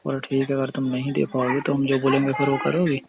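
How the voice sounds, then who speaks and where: A man's voice: medium pitch, completely flat delivery, brisk, creaky, natural voice. A man playing a suggestive employer on a phone call, coaxing and circling hesitantly, with frequent tag questions in casual colloquial talk. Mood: bargaining. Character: wheedling, suggestive male employer bargaining with his maid. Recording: noisy, dull phone-call recording with clicks.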